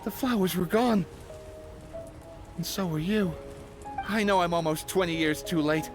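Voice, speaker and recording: An actor playing a grief-stricken, guilt-ridden man in a video game, speaking in a halting, pleading, anguished way. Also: high-pitched, over music. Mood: remorseful, haunted. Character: grief-stricken man haunted by guilt over lost woman. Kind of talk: dramatic video game voice acting.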